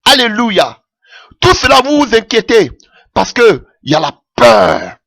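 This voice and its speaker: A man's voice: huge pitch swings, unhurried, creaky, a bit bright, no room sound, studio quality. A man preaching a sermon with passion, calling out alleluia often as his voice rises. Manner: impassioned, rising pitch with frequent alleluias.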